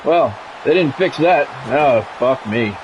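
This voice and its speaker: A man's voice: mid-range voice, lively intonation, slow, dull audio, noisy background. A middle-aged man streaming a sports video game, talking casually and commentating in a blunt, sardonic way. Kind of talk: casual livestream chat with sports play-by-play commentary.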